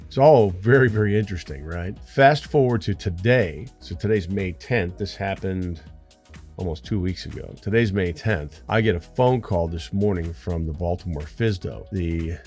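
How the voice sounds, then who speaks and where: A man's voice: sits low, a little mellow. An American man, a flight instructor, talking to viewers in an earnest, conversational storytelling way. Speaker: flight instructor hosting an aviation channel.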